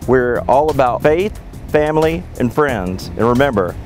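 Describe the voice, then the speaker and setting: A man's voice: mid-range voice, lively intonation, normal speed. A man giving a friendly, plain-spoken, folksy local promotional spot. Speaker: local restaurant advertiser. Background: music.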